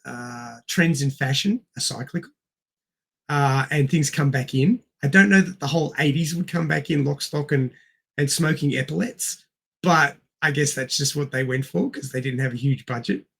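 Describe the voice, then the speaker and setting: Middle-aged man with a gravelly creak. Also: medium pitch, normal speed, fairly bright tone. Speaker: podcast co-host. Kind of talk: casual film discussion.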